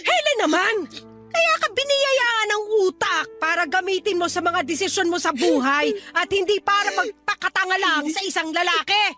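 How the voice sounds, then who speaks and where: Very high sharp female voice, scolding mother, blunt, exasperated, radio drama. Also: normal speed, soft background music. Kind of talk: Tagalog radio drama acting.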